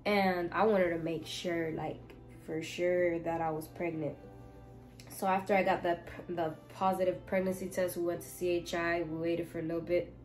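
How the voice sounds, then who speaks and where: A woman in her twenties from Miami, telling a casual storytime from her bedroom, animated, swinging from loud exclaiming to hushed asides. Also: lowish voice, moderate pace, faint music behind. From Miami, United States. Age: 20s. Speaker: vlogger. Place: bedroom, indoors. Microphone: camera in front, seated.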